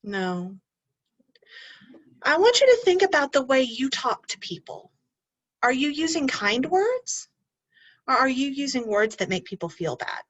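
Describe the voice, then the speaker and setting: A lively woman teacher reads a storybook aloud to young children, her voice swooping up and down as she asks them questions. Her voice sits fairly high. The recording sounds dull and lo-fi. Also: a little slow, long pauses. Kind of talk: reading a picture book aloud to children.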